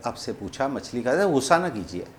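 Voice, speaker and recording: A man with a Pakistani accent making a prank phone call, rambling and repeating himself in deliberately confusing improvised banter. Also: mid-range voice, very animated, crowd noise. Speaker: prank caller hosting a comedy show.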